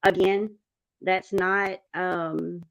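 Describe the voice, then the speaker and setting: A woman's voice: lowish voice, level tone, very slow, creaky, no echo, highs cut off. Woman chatting in a dry space. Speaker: panel host reading viewer comments. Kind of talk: casual chat.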